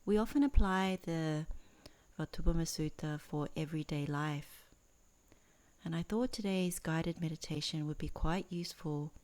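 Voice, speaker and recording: Woman meditation teacher, deep mellow voice, earnest, talks in short phrases w pauses. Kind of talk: guided meditation introduction, Dhamma talk.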